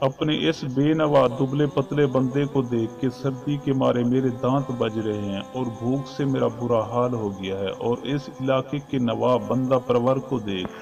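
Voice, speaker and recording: A man's voice: mid-range voice, fairly even intonation, gravelly, hardly any pauses, a little mellow, distant mic, close to an everyday voice. A man voicing a destitute, shivering poor man in a moral story who complains bitterly to God in formal, plaintive words. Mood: plaintive complaint. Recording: muffled lo-fi voice over music.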